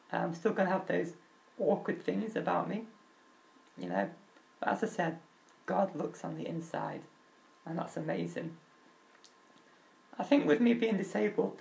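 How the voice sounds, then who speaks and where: Crackly, high-voiced young man. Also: vocal fry. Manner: open and earnest, halting restarts, nervous laughs. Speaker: vlogger. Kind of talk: candid personal talk.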